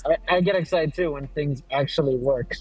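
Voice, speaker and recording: Husky man in casual stream chat. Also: a little high, moderate pace, poor audio. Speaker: guest on a live stream.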